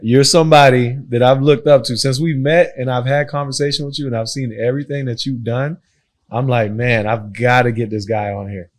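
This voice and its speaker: A man's voice: medium pitch, fairly even intonation, brisk. A man who grew up between Haiti and Miami, hosting a podcast interview in a casual, affirming way and often repeating his phrases. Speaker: podcast host.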